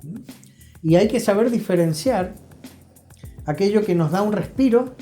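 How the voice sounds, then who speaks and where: A man's voice: high-pitched, lively intonation, unhurried, dark tone. An older man with an Argentine accent, speaking reflectively and emphatically as a guest therapist, explaining things didactically and repeating key phrases. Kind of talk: reflective interview talk. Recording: roomy echo, faint music.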